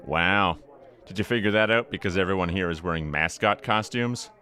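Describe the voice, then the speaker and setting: An actor playing a sarcastic, snide costumed party mascot in a comedic audio drama. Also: male voice, deep voice, moderate pace, pushed and bright. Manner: mocking, snide. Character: sarcastic costumed mascot of a giant fluffy killer.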